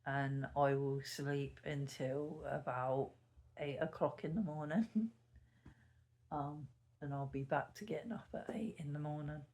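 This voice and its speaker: Slow, meandering vlogger at dawn. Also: mid-range voice, darkish tone. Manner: meandering, self-correcting, laughs at self. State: a bit sniffly. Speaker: YouTuber. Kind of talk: casual early-morning vlog chat to camera. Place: sitting at home indoors. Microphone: camera mic.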